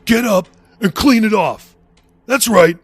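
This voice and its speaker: A man's voice: high voice, slow, husky, soft background music, pitched above the performer's own voice, exaggerated voice. A man voicing a hostile, drugged-up thug who hollers with mocking condescension, in a storybook reading. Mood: mocking, aggressive. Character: hostile, taunting young male thug high on drugs.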